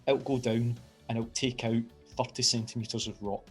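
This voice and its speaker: Glaswegian guy, middle-aged lecturer, chatty science talk, bit of quiet music behind. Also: medium pitch, level tone, normal speed. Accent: Glaswegian Scottish. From Scotland. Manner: enthusiastic, chatty, with fillers and restarts. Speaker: university engineering lecturer. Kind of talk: informal science outreach talk.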